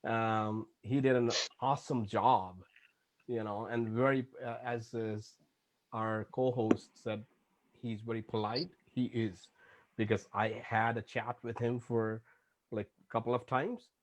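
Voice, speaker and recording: A man from Pakistan, a music producer, chatting over a video call in a relaxed, rambling way with many fillers. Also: fairly low pitch, measured pace, no room sound, low-quality recording. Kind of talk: casual chat. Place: Toronto.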